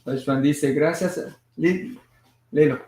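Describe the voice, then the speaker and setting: A man hosting a casual family livestream from home, moving easily between languages with a relaxed, filler-heavy way of talking. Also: on the high side, unhurried, slight background noise. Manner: switches between languages mid-sentence. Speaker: livestream host and father. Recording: echoey room sound.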